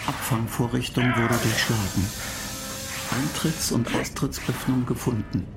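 An actor in a radio play voicing an impassive spaceship computer that makes clipped, monotone technical announcements. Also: medium pitch, unhurried, creaky, loud music behind the voice. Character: impassive spaceship computer reporting faults and countdowns.

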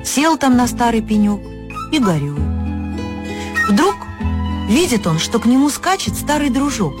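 A woman narrating a folk fairy tale for an audio story, expressive and lifting her pitch on key words. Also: measured pace, over music.

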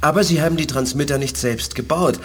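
Actor as an earnest, composed space officer. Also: male voice, a little high, a little hiss or hum, lightly acted. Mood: thoughtful. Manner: earnest, measured, respectful. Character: composed male telepath officer, loyal and thoughtful. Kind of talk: 1980s German radio play acting.

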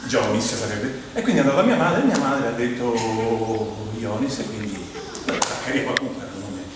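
A middle-aged man with a Roman Italian accent performing a humorous, conversational stage monologue, rambling and animated as he tells stories and addresses his listeners. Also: mid-range voice, normal speed. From Rome, Italy. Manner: animated, rambling, acts out quoted dialogue, addresses the audience. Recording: very echoey hall, distant mic, clear background noise.

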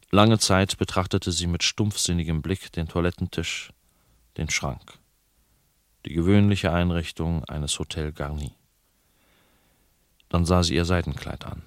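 A man narrating a novel in a measured, formal literary reading style. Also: low-pitched, dry recording, studio quality.

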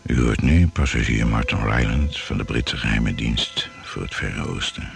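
An actor as a radio-play narrator and interviewer, clipped, formal and solemn. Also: male voice, rumbling low, normal speed, vocal fry, slight background noise. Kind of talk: scripted radio drama narration.

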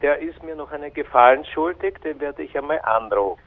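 An actor with an Austrian accent playing a slippery, condescending political aide through a telephone filter in a radio drama. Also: male voice, fairly high pitch, fairly quick. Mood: evasive, placating. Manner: hesitant stalling, officious. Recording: tinny narrow phone line.

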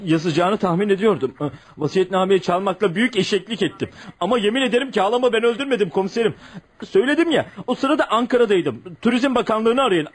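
A man's voice: high-pitched, expressive, quick pace, breathy edge. A man acting a desperate, pleading murder suspect in a radio drama, faltering as he protests.

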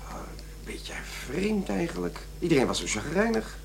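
An actor in a radio play playing an irritable, defensive office manager and husband who is quitting smoking. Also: male voice, fairly high pitch, huge pitch swings, moderate pace, creaky, crowd noise, exaggerated voice. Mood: weary, puzzled. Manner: blustering, defensive. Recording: faint noise and hum.

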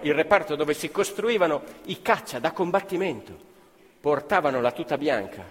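Emilian man telling a story on stage. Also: on the high side. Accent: Emilian Italian. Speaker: stage actor. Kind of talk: theatrical monologue with live music. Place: theatre stage. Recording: live performance in a hall, music behind.

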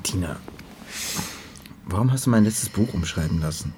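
An actor playing an ambitious, defensive young writer in a naturalistic German radio drama, hesitant and protesting. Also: male voice, low-pitched, animated, moderate pace, noisy background. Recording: clearly audible background ambience.

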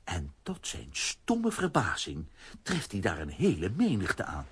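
A man narrating a children's radio play as an animated storyteller with a raspy voice. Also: low-pitched, a little slow, full character voice.

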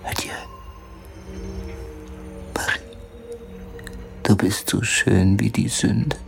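A tormented, brooding poor soul in a radio drama. He speaks very slowly and haltingly in a creaky, haunted voice, with prominent music behind him. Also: lowish voice, flat delivery, in a whisper, heavily acted. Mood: jealous, brooding. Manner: halting, haunted, breaking into hushed mutters. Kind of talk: theatrical German radio play acting.

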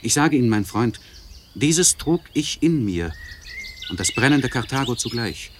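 An actor playing an anguished, articulate nobleman in a literary radio play monologue, speaking in a solemn, searching manner with deliberate phrasing and elevated diction. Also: mid-range voice, expressive, background noise, lightly acted. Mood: impassioned. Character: anguished, articulate nobleman confiding by letter to a friend.

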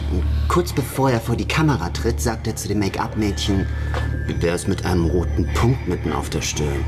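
An actor playing a menacing, jeering gangster thug in a noir radio drama, with a taunting, theatrical delivery. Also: male voice, on the low side, close to an everyday voice.

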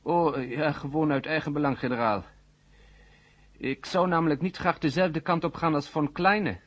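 A husky, wary scientist in a vintage radio play. He is hesitant and polite but calculating, on a muffled old recording. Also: fairly high pitch, fairly even intonation, normal speed, fairly bright tone. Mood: cautious. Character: wary self-interested male scientist, hesitant yet calculating. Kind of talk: 1970 Dutch radio drama acting.

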